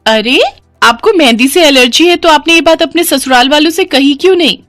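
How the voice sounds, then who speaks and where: A woman's voice: high-pitched, fairly quick, a bit bright, dry recording, clean studio sound, soft background music, pitched above the performer's own voice. A woman voicing a polite, helpful young female henna artist in a cartoon story.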